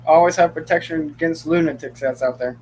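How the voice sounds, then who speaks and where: A man's voice: a little high, fairly quick, a little mellow, a little hiss or hum. A young man hosting a casual livestream chat, joking often and laughing easily. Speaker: livestream host.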